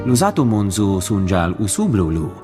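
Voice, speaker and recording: An actor voicing an animated male alien who speaks in an invented tongue in a video game. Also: fairly low pitch, moderate pace, music in the background.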